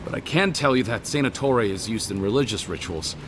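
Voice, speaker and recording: A man's voice: on the low side, expressive, fairly quick, background noise, natural voice. Man playing a nervous, stammering male merchant. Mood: nervous, pleading. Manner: nervous, hesitant. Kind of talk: video game voice acting.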